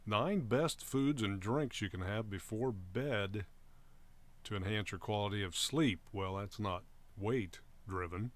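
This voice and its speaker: A man's voice: lowish voice, unhurried. A man co-hosting a casual chat, talking in a conversational way with short reactive comments. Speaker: talk show co-host.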